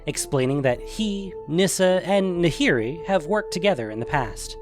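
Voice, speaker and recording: A man's voice: a little high, animated, moderate pace, over music. A man narrating a scripted fantasy adventure story.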